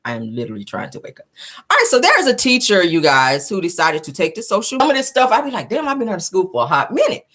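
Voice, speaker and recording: A woman's voice: medium pitch, wildly swooping pitch, fast talker, a bit bright. A woman hosting a celebrity gossip show, talking to viewers in an informal, slangy, chatty way. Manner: informal, slangy, addressing viewers as guys and honey. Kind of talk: casual chatty news commentary to viewers.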